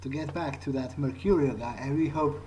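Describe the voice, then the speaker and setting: A man's voice: mid-range voice, a little fast, dark tone, slight background noise. A man giving in-character commentary on a video game, thinking aloud hesitantly. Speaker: gamer roleplaying in character. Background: game music.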